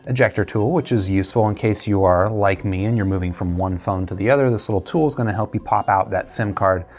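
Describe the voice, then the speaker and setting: A man's voice: fairly low pitch, fast, darkish tone. A man chatting casually through a tech review and unboxing, conversational and thinking aloud as he goes.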